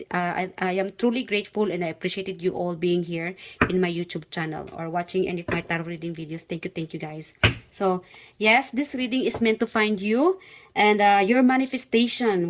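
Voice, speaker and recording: A woman with a Filipino accent hosts a tarot reading, talking straight to her audience. Her voice sits at an everyday middle pitch. She speaks haltingly, with frequent fillers and repeated phrases. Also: a little hiss or hum. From Philippines. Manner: hesitant, many fillers and repeats, speaks directly to viewers. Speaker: tarot reader. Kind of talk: casual tarot reading to camera.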